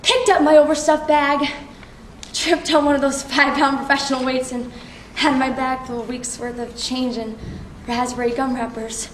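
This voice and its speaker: A young woman playing a flustered, insecure student making a halting, self-conscious speech on stage. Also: sits high, steady tone, lightly acted. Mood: rambling. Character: flustered, insecure schoolgirl giving an unprepared speech. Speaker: student actor. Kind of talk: theatrical stage monologue. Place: theatre workshop performance space. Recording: echoey room from far off, faint noise.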